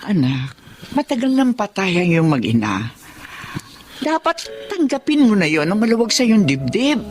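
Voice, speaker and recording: A woman's voice: medium pitch, very animated, normal speed, darkish tone, natural voice. A woman acting a worried, loving elderly mother who nags and pleads, in a Filipino radio drama. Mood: gently pleading.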